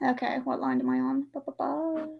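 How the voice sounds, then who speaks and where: Woman livestream host, high voice, casual and rambling, slips into acted-out remarks. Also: steady tone, a little fast, gravelly, narrow, tinny audio, a little hiss or hum. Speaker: livestream host and hobby voice actress.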